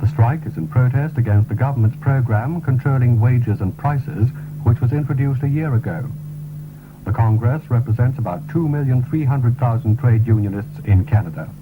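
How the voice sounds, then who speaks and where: A man reading a formal, scripted radio news bulletin in a measured way, on a 1970s broadcast. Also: mid-range voice, background noise. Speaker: radio newsreader. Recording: tinny, narrow-band 1970s radio broadcast recording.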